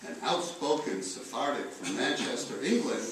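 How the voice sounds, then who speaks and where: A man reading a eulogy aloud in a solemn style, with measured, deliberate phrasing and pauses. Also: mid-range voice, lively intonation, a bit bright. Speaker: eulogist reading letters at a memorial. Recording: echoey room, distant mic, faint noise.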